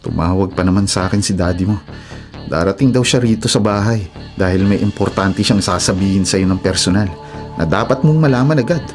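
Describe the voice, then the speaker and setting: A man acts out a compassionate, worried husband in a radio melodrama. His voice is fairly low and earnest, with clear music behind it. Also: a little fast, a little mellow, lightly acted. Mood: concerned. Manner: earnest, imploring. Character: concerned, compassionate husband of a policewoman. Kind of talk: radio drama acting.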